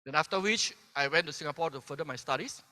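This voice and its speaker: A man's voice: on the high side, quick pace, pushed and bright. Malaysian-born man speaking in echoing hall. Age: 50s. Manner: peppers sentences with "right?", asks the crowd questions. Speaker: keynote speaker. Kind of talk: motivational convention speech. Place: large convention hall. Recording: long hall reverb from a stage.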